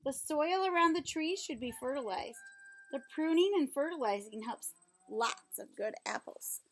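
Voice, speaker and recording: A woman reading a children's book aloud like a teacher, pausing to explain things simply and ask questions. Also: sits high, animated, a bit bright, low-quality recording.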